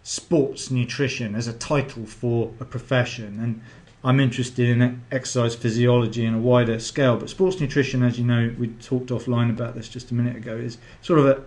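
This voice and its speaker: A man's voice: mid-range voice, steady tone, brisk, darkish tone. A middle-aged man hosting a podcast, chatty and rambling, with a lot of ums. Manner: chatty, rambling with many fillers. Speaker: podcast host. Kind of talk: podcast interview.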